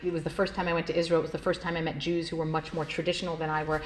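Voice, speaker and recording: A woman rabbi telling her life story in a relaxed, conversational way, with many "like" fillers and easy laughs. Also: low voice, very fast, a little hiss or hum. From United States, Washington State. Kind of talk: personal storytelling talk.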